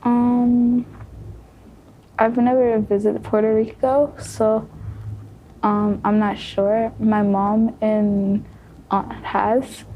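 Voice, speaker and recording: Slow, soft-spoken young woman. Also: a little high, flat delivery, lo-fi sound, slight background noise. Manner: soft, hesitant, many ums. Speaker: student moderator asking questions. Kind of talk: casual panel discussion.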